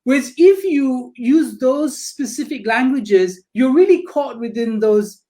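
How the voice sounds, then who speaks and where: A woman's voice: sits high, expressive, unhurried, mellow. A woman theatre critic on a panel discussion, speaking thoughtfully with many restarts and fillers, over a video call.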